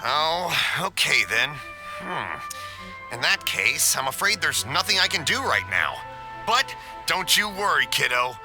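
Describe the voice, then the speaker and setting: An actor playing a friendly, easygoing middle-aged festival stall keeper in a game cutscene. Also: male voice, on the high side, sing-song, unhurried, music in the background. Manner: hearty, easygoing and generous.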